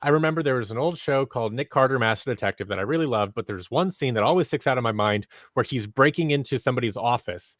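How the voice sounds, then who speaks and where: A man's voice: medium pitch, lively intonation, fast, hardly any pauses, fairly bright tone, dry recording, studio quality. A man in his thirties chatting casually as an interview guest, animatedly acting out bits of imagined dialogue. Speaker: guest, audio drama creator. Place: small office, indoors, on a video call. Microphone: close boom-arm microphone, headphones.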